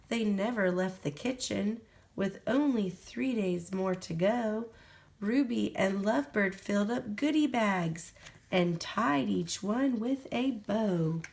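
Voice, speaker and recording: Female storybook reader, expressive and deliberate, slow pace, slightly high voice with vocal fry. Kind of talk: children's picture book read-aloud.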